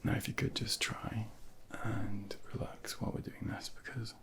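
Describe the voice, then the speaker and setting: A man softly whispering a medical ASMR role play as a junior doctor, gently reassuring and instructing, close to a binaural microphone. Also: fairly low pitch, level tone, normal speed. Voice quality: breathy whispering, gravelly.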